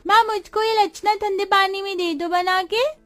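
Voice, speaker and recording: A woman voicing a whiny, excitable five-year-old girl with childish lisping speech in a cartoon story. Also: very high voice, unhurried, voice pushed up. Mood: whiny, demanding. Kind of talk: cartoon moral story acting.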